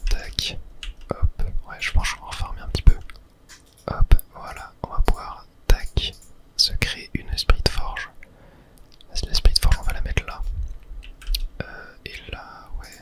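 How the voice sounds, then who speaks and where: A man from whispers casual ASMR gaming commentary in a breathy, unvoiced voice, murmuring his thoughts aloud. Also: unhurried, long pauses, fairly bright tone, poor audio. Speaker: ASMR gaming video host.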